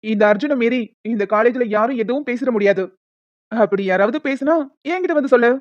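Cocky young college guy, voiced by a woman, high-pitched. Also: brisk, no room sound, dull audio, lightly acted. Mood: swaggering. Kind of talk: cartoon story dialogue in colloquial Tamil slang.

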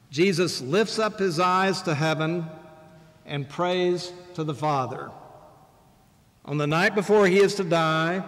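Older man preaching slowly in a reverberant church. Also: fairly high pitch. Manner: measured, deliberate preaching with pauses. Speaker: bishop preaching. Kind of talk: sermon. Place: large church sanctuary, at a wooden pulpit. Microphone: pulpit microphone, far camera.

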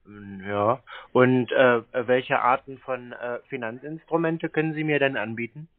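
A man's voice: medium pitch, a little slow, phone-quality sound. A man in a phone conversation, putting questions to a salesman. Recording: band-limited phone sound.

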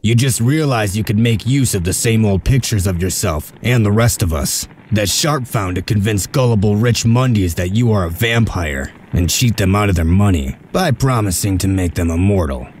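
Man acting a low-voiced, stern commanding leader. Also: animated, darkish tone, a little hiss or hum, close to an everyday voice. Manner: curt, authoritative, unhurried orders. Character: stern male leader of covert break-in, commanding, shrewd. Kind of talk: comic dub voice acting.

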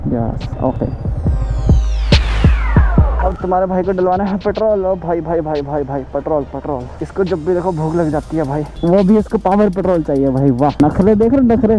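A man's voice: on the high side, normal speed, warm tone, faint music behind. A young adult man chats casually and informally as a motorcycle vlogger, mixing in English words. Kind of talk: casual chat while riding. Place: outdoors, riding a motorcycle on city roads. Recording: helmet mic with clear road noise. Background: vehicle and road noise.

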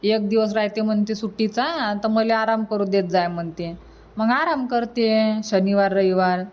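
A woman's voice: medium pitch, steady tone, moderate pace, a little mellow, natural voice. A woman voicing a homely, earnest young married niece in a cartoon comedy, with a Varhadi Marathi accent. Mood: matter-of-fact. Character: homely young married niece visiting her village family. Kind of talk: cartoon comedy dialogue in rural dialect.

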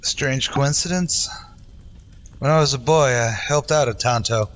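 A man plays a wounded Texas Ranger in a radio western. He is gritty, loyal and stubborn, with a bright, forceful edge to his voice. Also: mid-range voice, measured pace, close to an everyday voice. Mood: earnest, grieving.

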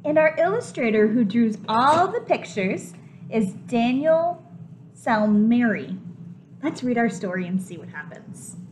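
A young woman with a high, very lively voice reads a children's picture book aloud like a preschool teacher. She takes her time, explaining things simply to little listeners. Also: a little slow, slight background noise. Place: home kitchen, indoors.

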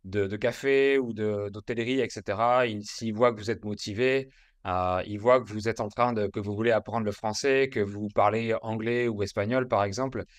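A man's voice: fairly low pitch, steady tone, moderate pace, dry recording. Encouraging man hosting over headset. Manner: encouraging, explanatory. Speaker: host, French teacher. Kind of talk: interview. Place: small room at home. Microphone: headset on video call.

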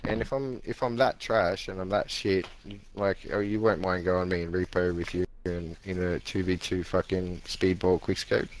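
Low-voiced guy on a headset, worked up and cursing nonstop in game chat. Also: fairly even intonation, moderate pace, few pauses, slight background noise, low-quality recording. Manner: aggrieved, swearing, repeats himself. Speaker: stranger in game party chat. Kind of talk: heated voice chat.

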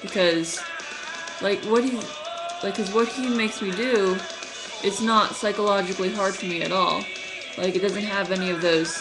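A young woman in college who stutters, talking casually to her webcam in a small room, with halting speech, many fillers and restarts. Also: medium pitch, steady tone, music in the background, poor audio. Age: young adult. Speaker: vlogger. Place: small room at night, indoors. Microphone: webcam at close range.

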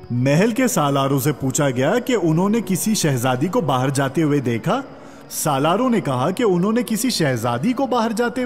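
Male children's storyteller, fairly high voice, lively intonation, scripted fairy-tale narration. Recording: long reverb, music underneath.

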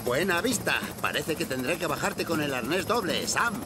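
A man's voice: a little high, lively intonation, normal speed, background noise, natural voice. A man playing a capable, easygoing rescue pilot in a cartoon dub. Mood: calm, businesslike. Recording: music behind the voice.

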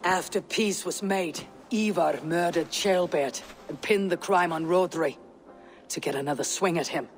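An actress playing a stern, resolute young Viking warrior in a video game, grave, measured and blunt. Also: female voice, lowish voice. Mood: blunt, somber. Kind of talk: video game cutscene acting.

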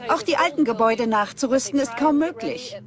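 A woman's voice: sits high, brisk, a crowd in the background, close to an everyday voice. A woman voicing a scientist's scripted conversational lines in a documentary. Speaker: scientist, dubbed.

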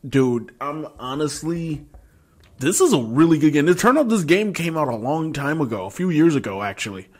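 A young man giving casual gaming reactions and commentary in an informal, expressive, slangy way, close on a desk microphone. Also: on the high side, normal speed, breathy edge. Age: young adult. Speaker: gaming YouTuber. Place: small room at home, indoors. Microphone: close desk microphone with pop filter.

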